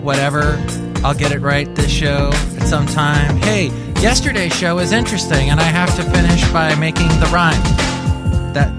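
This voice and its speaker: A man hosting a podcast with a rambling, self-mocking comic monologue full of asides, with loud music behind. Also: fairly high pitch, moderate pace. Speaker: podcast host. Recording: loud music bed under voice.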